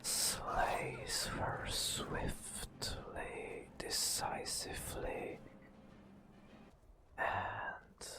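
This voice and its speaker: A man softly narrating a fantasy game adventure as ASMR storytelling, in a breathy whisper with deliberate, drawn-out phrasing. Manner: slow, deliberate phrasing with long pauses. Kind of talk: soft-spoken ASMR storytelling.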